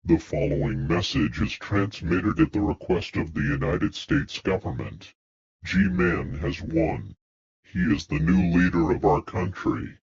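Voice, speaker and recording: A man's voice: low voice, wildly swooping pitch, a little slow, a little mellow, a little hiss or hum. A man reading a grave, formal government emergency announcement with a synthetic-sounding delivery and a muffled sound. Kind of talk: scripted emergency broadcast announcement.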